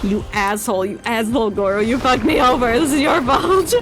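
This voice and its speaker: A woman's voice: a little high, steady tone, a little fast, a crowd in the background, background noise. A woman streamer giving live gameplay commentary, swearing and ribbing the game in exasperation. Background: game music.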